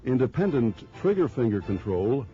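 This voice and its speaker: A man reading scripted instructional narration for a training film in a measured, formal, explanatory way. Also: mid-range voice, sing-song, faint music behind. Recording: dull lo-fi early 1990s film soundtrack.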